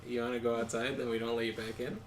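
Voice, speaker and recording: A man's voice: medium pitch, flat delivery, fast, over a noisy crowd. A man chatting casually over a game, telling rambling anecdotes full of fillers and chuckles. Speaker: co-host of a game stream. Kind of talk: casual chat while gaming. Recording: roomy echo, faint background noise.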